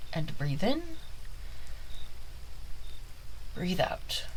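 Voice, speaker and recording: A woman playing a quirky, scholarly plague doctor in an ASMR examination roleplay, speaking softly in formal, polite, wordy phrases. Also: lowish voice, a little hiss or hum, full character voice, rough recording. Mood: calm, instructing.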